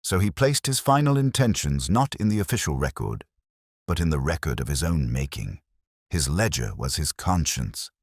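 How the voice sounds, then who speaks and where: Man playing composed Victorian detective, storybook reading, very low husky voice, precise, emphatic. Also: big pitch swings, moderate pace, no room sound, clean studio sound, heavily acted. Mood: probing, deliberate. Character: analytical, composed Victorian male detective, coolly reasoning.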